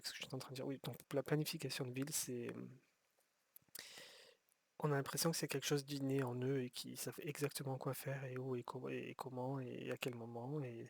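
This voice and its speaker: A man's voice: medium pitch, creaky, husky, no room sound. A man giving rambling, casual commentary while playing a city-building game, often restarting his sentences and swearing offhand. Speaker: gameplay streamer.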